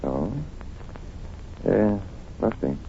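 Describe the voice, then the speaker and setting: Deep-voiced, confident radio detective, muffled vintage sound. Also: male voice, extremely slow, creaky, warm tone, a little hiss or hum, exaggerated voice. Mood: teasing, tender. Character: confident, analytical detective, teasing and affectionate with his girl. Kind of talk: old-time radio drama acting.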